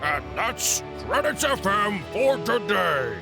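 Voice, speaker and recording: A man's voice: high voice, very animated, slow, gravelly, over music. A man announcing scripted credits in a playful radio style.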